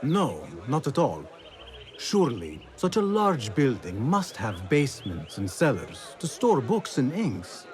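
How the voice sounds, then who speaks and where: A man's voice: mid-range voice, wildly swooping pitch, unhurried. A man playing a young, earnest assassin in a cinematic video game, with music behind him. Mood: polite, probing. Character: young vengeful assassin, stealthy, earnest and polite.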